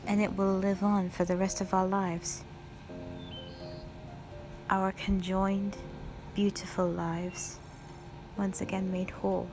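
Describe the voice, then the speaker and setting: A devoted, wistful dragon wife, acted by a woman in a romantic roleplay drama. She speaks slowly and tenderly at a medium female pitch, with old-fashioned, formal phrasing. Music plays clearly underneath her voice. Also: little pitch movement. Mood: loving, earnest.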